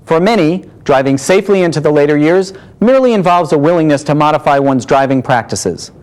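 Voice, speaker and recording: A man, a doctor, reading scripted advice in a formal, measured way, listing points one by one. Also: on the high side, lively intonation, darkish tone, poor audio. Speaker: doctor giving medical advice.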